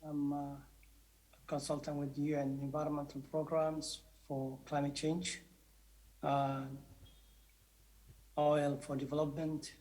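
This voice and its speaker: A man from Somalia, a consultant, introducing himself quietly and hesitantly over a distant video call. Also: a little high, little pitch movement, slow pace, long pauses.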